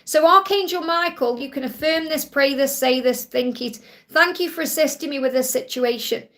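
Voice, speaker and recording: A middle-aged woman gives a live spiritual reading in a chatty, reassuring way, often checking in with "okay?" Her voice sits high and carries a gravelly fry, and it is turning croaky. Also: normal speed, fairly bright tone. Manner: chatty, reassuring, peppered with "okay?" and "you know". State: voice getting croaky. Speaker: psychic reader hosting a live Q&A.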